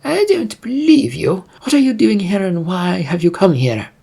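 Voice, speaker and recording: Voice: mid-range voice, animated, moderate pace, vocal fry, mellow, husky, voice pushed up. A narrator voicing a haughty, paranoid fantasy prince in an imperious, indignant manner for a story. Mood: suspicious, demanding.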